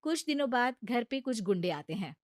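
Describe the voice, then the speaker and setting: A woman's voice: fairly high pitch, big pitch swings, normal speed, dry recording, studio quality. A woman narrating a scripted cartoon story as its storyteller. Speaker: narrator and voice performer.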